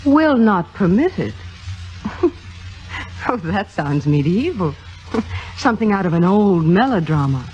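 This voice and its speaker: A woman's voice: medium pitch, big pitch swings, measured pace, pauses often, a little mellow. A woman playing an ambitious, self-assured young stage actress in a 1950s radio drama, with a poised theatrical delivery. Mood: defiant. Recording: dull vintage radio recording with music.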